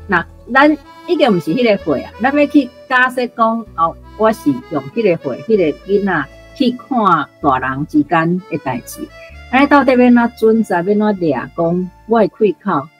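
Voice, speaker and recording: A woman's voice: fairly low pitch, slightly rough, poor audio. A woman with a Taiwanese accent giving unscripted, explanatory commentary on literature as part of a radio programme. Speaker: radio programme guest or presenter discussing literature.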